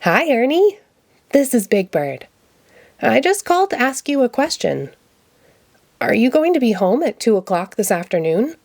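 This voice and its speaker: A woman's voice: on the high side, very animated, normal speed, pauses often, darkish tone, no room sound, studio quality, voice pushed up. A woman voicing a friendly, childlike giant bird from a children's storybook, with an innocent manner. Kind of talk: children's storybook read-aloud.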